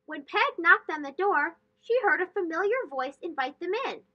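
A young woman reading a children's picture book aloud in a gentle, expressive, slow and clear way, recorded in her bedroom. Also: very high-pitched, dull audio. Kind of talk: read-aloud storytelling for children. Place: bedroom with bookshelves and plush toys, indoors.

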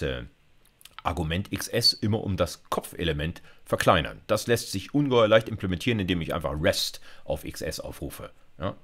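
A middle-aged man giving an informal programming lecture, explaining step by step and addressing listeners directly in a conversational way. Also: low voice. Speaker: university lecturer. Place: indoor recording setup, screencast lecture.

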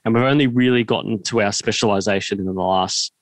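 A man's voice: fairly low pitch, quick pace, dry recording. An Australian man in his thirties hosting a podcast, asking roundabout, hesitant questions in a casual conversational way into a close studio mic. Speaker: podcast host, interviewer. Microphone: close studio mic on boom arm, headphones.

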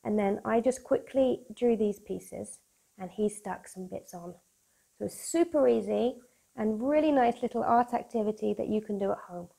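A British woman in her thirties presenting for young children in a playful, expressive, sing-song manner, as if hosting a picture-book club. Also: medium pitch, moderate pace, vocal fry. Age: 30s. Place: small room at home, indoors. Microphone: lapel mic.

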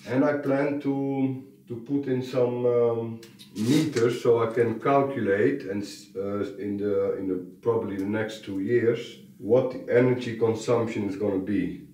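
Slow-talking man in an echoey room. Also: mid-range voice, fairly even intonation, dark tone. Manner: slow, thinking aloud with fillers and restarts. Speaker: vlogger renovating a farmhouse. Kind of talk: casual project update vlog. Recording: roomy echo, mic at a distance.

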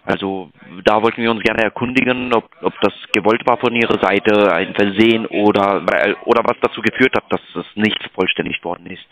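A man's voice: lowish voice, steady tone, a little fast, few pauses, a bit bright, rough recording. A man making a polite sales call, deferential, apologetic and hesitant in the way he talks. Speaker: cold-calling sales agent.

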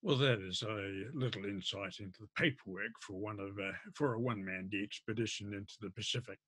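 An older man, explaining informally to camera in a halting, hesitant way. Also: on the low side, a bit bright, husky, dry recording. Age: older, around 70s. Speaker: amateur radio vlogger. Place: indoors at a desk.

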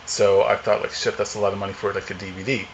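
A man's voice: fairly low pitch, flat delivery, fast, lo-fi sound, a little hiss or hum. A man in his forties, chatting casually and rambling with many fillers while unboxing at home. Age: 40s. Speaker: vlogger. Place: home living room, indoors.

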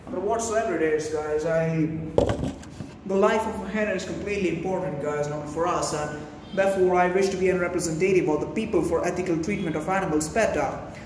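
A man's voice: high voice, fairly quick. A young man with an Indian accent vlogging casually in a rambling, wordy, grandiloquent way, often addressing his viewers. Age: young adult. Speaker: food vlogger. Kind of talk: casual vlog monologue. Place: small bare indoor room. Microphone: phone camera, held or propped at a distance. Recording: very echoey room, distant phone, faint noise.

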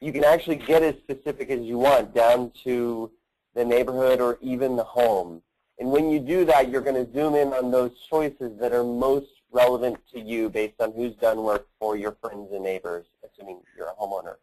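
Man presenting a halting product demo. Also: medium pitch, steady tone, warm tone, poor audio. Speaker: company representative presenting a demo. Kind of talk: conference call product demo.

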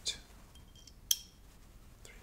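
A middle-aged man in a soft, breathy whisper, murmuring slowly and gently in an ASMR roleplay as a chemist. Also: heavy background noise. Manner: slow, gentle, unhurried step-by-step murmuring. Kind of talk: ASMR roleplay, soft-spoken personal attention. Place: small indoor set, seated at a worktable.

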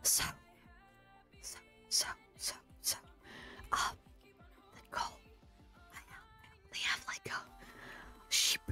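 A woman's voice: slow pace, long pauses, pushed and bright, soft background music. A woman streaming a game, whispering ASMR-style while dramatically complaining about her suffering. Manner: dramatically complaining, self-pitying. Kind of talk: live gameplay stream commentary.

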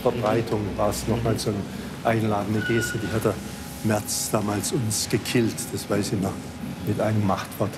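A man's voice: fairly low pitch, flat delivery, moderate pace, over loud music. An older man from southwestern Germany in casual conversation, putting in remarks. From Ludwigsburg area, Germany. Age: older, 70s or 80s. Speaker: architect in a joint eyewitness interview. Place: large office archive room, indoors. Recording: loud room ambience noise.

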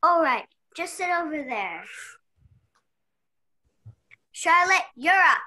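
A woman's voice: very high-pitched, very animated, takes it slowly, pushed and bright, full character voice. A young woman playing an in-charge investigator in an amateur radio play. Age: young adult.

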